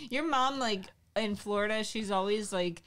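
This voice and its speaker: A woman's voice: mid-range voice, lively intonation, slow pace, a bit bright. Playful young woman chatting on a stream. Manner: playful, giggly, uses "like" a lot. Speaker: stream co-host. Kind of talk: casual chat on a livestream. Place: home studio room, indoors. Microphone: studio mic on boom arm, close.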